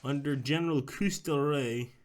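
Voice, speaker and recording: A man's voice: on the high side, moderate pace, slight background noise. A young man casually talking through a strategy game on a live stream, chatting with viewers as he plays. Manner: rambling, chats with viewers, talks through moves.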